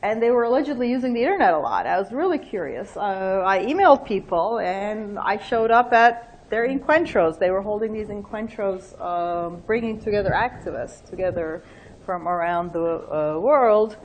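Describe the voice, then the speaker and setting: A middle-aged woman, giving a book talk in a lively, thinking-aloud way with laughing asides. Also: medium pitch, moderate pace, a little hiss or hum. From Turkey. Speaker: invited book talk speaker. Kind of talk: academic talk with anecdotes.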